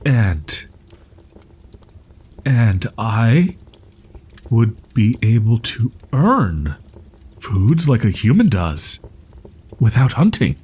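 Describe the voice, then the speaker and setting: A man playing a husky, halting young wolf-boy. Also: mid-range voice, sing-song, a little mellow, heavily acted. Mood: wary, hesitant. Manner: halting, stammering, archaic formal phrasing. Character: proud, wounded, tsundere young male wolf-boy with ears and tail. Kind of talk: roleplay audio drama, ASMR.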